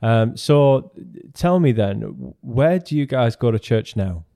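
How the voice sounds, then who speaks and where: A man's voice: mid-range voice, animated, a little slow, dark tone. A man hosting a podcast interview, encouraging his guests with frequent affirmations. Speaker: podcast host.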